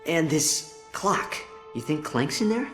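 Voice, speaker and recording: Young male alien hero: high, animated, polite and eager, over music. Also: slow, exaggerated voice. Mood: curious. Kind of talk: animated game cutscene acting. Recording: music behind the voice.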